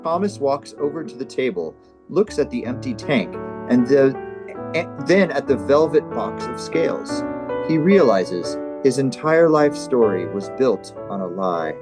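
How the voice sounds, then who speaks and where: A man's voice: medium pitch, big pitch swings, unhurried, music in the background. A man hosting a comedy table read, narrating stage directions and chatting casually. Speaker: comedy show host and script narrator.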